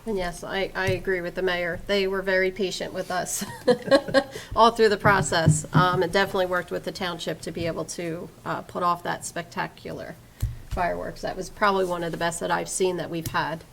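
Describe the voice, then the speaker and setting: A woman's voice: on the low side, steady tone, normal speed, a bit bright, low-quality recording. A woman official making formal remarks and reading procedure at a township meeting. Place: public meeting room, at a microphone. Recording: clear background noise and clicks.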